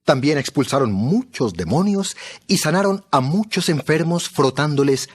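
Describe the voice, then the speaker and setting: A man's voice: a little high, animated, normal speed, husky. A man narrating a dramatized Bible story from a script.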